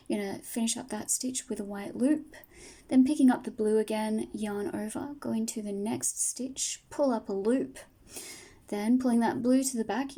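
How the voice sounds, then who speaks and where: A woman teaching crochet step by step, patient and soft-spoken, her voice tired and fading from a long day of talking. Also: fairly high pitch. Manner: soft, patient, talks through each stitch. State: losing her voice. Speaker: crochet tutor. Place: home table, indoors.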